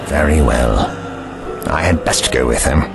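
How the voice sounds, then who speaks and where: A man's voice: a bass voice, unhurried. A man playing an eccentric, crotchety old time traveller in an audio drama, shrewd and courteous, with fussy, old-fashioned phrasing. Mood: musing. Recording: loud music bed.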